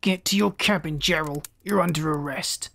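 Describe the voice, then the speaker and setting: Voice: mid-range voice, moderate pace, gravelly, fairly bright tone. A narrator voicing a stern, hot-tempered male spaceship captain, barking orders in a science fiction story. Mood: angry, commanding. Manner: commanding, thundering orders.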